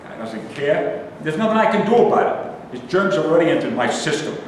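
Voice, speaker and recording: A man plays an aggrieved, neurotic grumbler in a staged play, his fairly high voice swinging up and down with indignation. He is heard from far off in a very echoey hall. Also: sing-song, a little fast, a little hiss or hum. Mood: indignant. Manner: indignant, complaining, emphatic.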